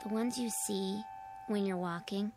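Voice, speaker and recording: A woman playing a calm, insistent, knowing little girl in a radio drama. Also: medium pitch, measured pace, background noise, lightly acted. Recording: music under the voice.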